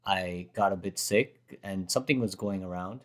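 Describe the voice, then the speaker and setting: Brief: hoarse, slightly low-voiced man, casual rambling storyteller who keeps correcting himself. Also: moderate pace. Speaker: rapper reacting to his own performance. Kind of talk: casual chat.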